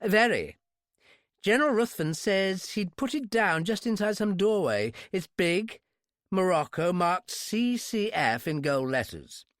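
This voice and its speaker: Man putting on a high-pitched, pompous upper-class English secretary. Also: animated, a little slow, fairly bright tone, dry recording, clean studio sound. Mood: fussy, earnest. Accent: exaggerated upper-class English. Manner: pompous, airy, over-polite. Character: posh, fussy English private secretary, bluffing politely. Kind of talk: audiobook character voice.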